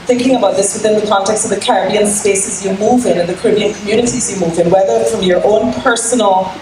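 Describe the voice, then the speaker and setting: A woman's voice: mid-range voice, steady tone, fast talker, recorded from a distance. Woman moderating in an echoey, noisy room. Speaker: panel moderator. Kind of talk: moderating a live panel discussion. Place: indoor public panel event.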